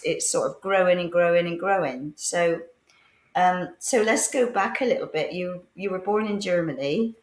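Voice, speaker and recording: Husky-voiced woman co-hosting, low and echoey. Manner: chatty, rambling questions with fillers. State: husky voice from a cough. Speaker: co-host. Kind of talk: casual interview hosting.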